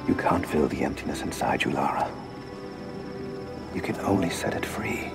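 An actor playing a solemn, middle-aged leader of an ancient people in a video game, grave and measured. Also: male voice, extremely low pitch, animated, gravelly, loud music behind the voice, heavily acted. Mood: grave concern. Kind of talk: cinematic game acting. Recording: loud orchestral score behind.

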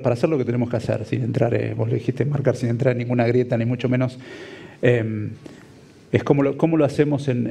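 A man with an Argentine accent speaking as a panel guest in a studio, halting and often restarting his phrases. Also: mid-range voice, vocal fry, dark tone, husky. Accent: Argentine Spanish. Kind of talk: panel discussion. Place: TV studio set.

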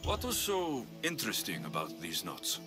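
A man's voice: lowish voice, wildly swooping pitch, moderate pace. An actor playing a blunt, wry male mercenary warrior in a video game, direct and a little impatient. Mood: inquiring. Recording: music behind the voice.

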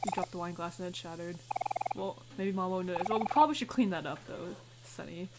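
A woman's voice: fairly low pitch, a little fast, heavy background noise. A woman doing casual let's play commentary, chattily thinking aloud and reading game text out as she goes. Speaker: gaming video host.

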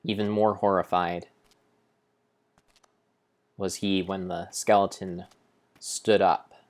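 Deep, slow-paced young man narrating dramatically. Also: flat delivery. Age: young adult. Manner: solemn, deliberate, theatrical storyteller. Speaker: game streamer. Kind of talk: dramatic storybook-style narration over gameplay.

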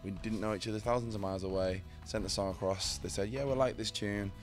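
A man's voice: lowish voice, steady tone, quick pace. A man in his thirties with a Yorkshire accent, a singer chatting and joking in an interview at a festival. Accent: Yorkshire English. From Yorkshire, England. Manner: joking, playful, rambling. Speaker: country singer. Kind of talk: casual interview chat. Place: festival backstage area. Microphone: handheld interviewer mic. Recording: music playing behind. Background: music.

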